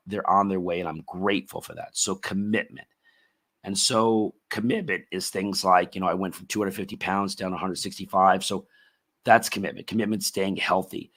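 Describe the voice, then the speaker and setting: A middle-aged man hosting a solo motivational podcast, his voice raspy and worn from a lot of talking, earnest and thankful, repeating his key words. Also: low voice, a bit bright. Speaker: podcast host.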